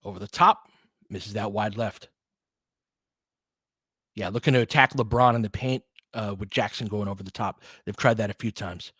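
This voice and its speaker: A man's voice: lowish voice, normal speed, pauses often, fairly bright tone, breathy edge, dry recording, studio quality. A man giving informal, candid basketball play-by-play and opinionated commentary as the host of a fan stream.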